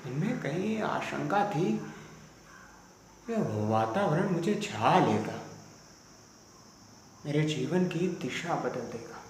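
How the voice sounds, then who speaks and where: A man's voice: a little high, expressive. Guy doing a sad brooding poet, stage monologue, solemn and formal, super echoey room, bit of insect hiss. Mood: uneasy, brooding. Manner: solemn, deliberate, formal literary diction with dramatic pauses. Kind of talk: Hindi stage drama monologue, audition performance.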